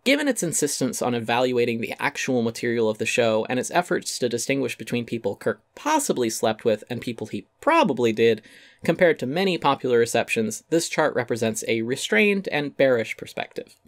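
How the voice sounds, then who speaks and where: A man's voice: mid-range voice, big pitch swings, a little fast, no room sound, studio quality. A man giving a dramatic reading of a critical essay, wry and articulate with theatrical emphasis. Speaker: essay narrator.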